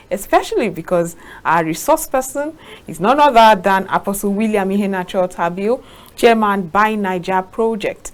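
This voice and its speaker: Measured woman hosting a talk show. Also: mid-range voice. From Nigeria. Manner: measured, formal introductions.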